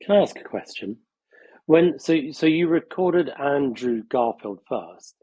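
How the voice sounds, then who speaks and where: A man's voice: mid-range voice, animated, measured pace, mellow, breathy edge, no room sound, muffled recording. A man putting a casual question to speakers on a panel.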